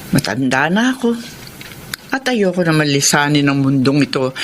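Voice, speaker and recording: An elderly, wistful mother in a Filipino radio romance. Her low voice rises and falls in a lively way as she dotes, with faint ambience behind. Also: slight background noise. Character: doting, wistful elderly mother longing for grandchildren.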